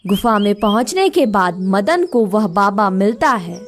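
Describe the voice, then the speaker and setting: A woman's voice: medium pitch, big pitch swings, measured pace, over music. A woman narrating a scripted moral story for children.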